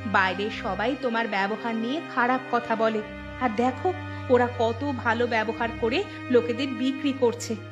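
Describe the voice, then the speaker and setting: A woman's voice: fairly high pitch, steady tone, moderate pace, music in the background, natural voice. A woman voicing an outspoken shopkeeper's wife in a village cartoon, pointed and dramatic. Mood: worried, reproachful. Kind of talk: cartoon voice acting.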